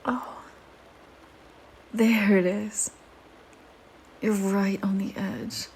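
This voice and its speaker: A woman voicing a flirty, teasing, predatory female vampire in an intimate audio roleplay, with playful banter and breathy murmurs. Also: medium pitch, little pitch movement, drawn-out words, darkish tone, full character voice. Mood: breathy, hungry.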